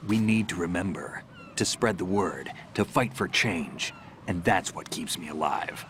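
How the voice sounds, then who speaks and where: A low, gravelly voice carries this world-weary, middle-aged soldier from a video game cutscene. He talks in terse, resolute, matter-of-fact phrases, with faint outdoor noise behind him. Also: lively intonation, unhurried, fairly bright tone. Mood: earnest, weary. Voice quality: gravelly, gruff.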